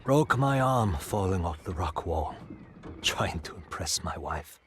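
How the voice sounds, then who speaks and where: An actor playing a bitter, wary raider in a dramatic video game, with a gruff, sardonic, blunt manner. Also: male voice, sits low, lively intonation, unhurried, slight background noise. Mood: heavy, wary.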